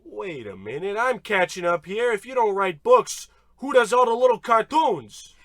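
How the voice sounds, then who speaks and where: An actor playing a nervous, slow-witted sidekick who sounds anxious and complaining, in old radio comedy style. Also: male voice, sits high, very animated, a bit bright, no room sound. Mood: puzzled.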